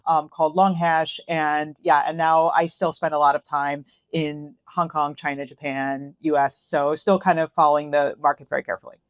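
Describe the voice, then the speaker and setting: A woman, a guest on a crypto interview show, speaking in a quick, animated, fluent way from Tokyo. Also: fairly low pitch, no room sound. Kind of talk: casual interview talk. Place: home office in Tokyo.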